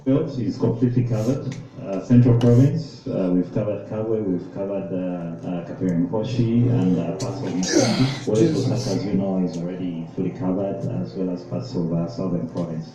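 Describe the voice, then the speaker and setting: A man's voice: low voice, normal speed, hardly any pauses, dark tone. A man, a sales director at a media briefing, listing details in a matter-of-fact way. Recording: very echoey room.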